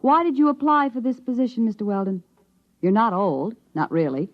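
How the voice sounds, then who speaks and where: An actress playing a cool, businesslike young interviewer with clipped, controlled delivery in 1950s radio drama. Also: female voice, a little high, fairly quick, natural voice. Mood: cool, knowing. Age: young adult. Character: cool, brisk young woman interviewer, quietly menacing. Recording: muffled vintage radio recording.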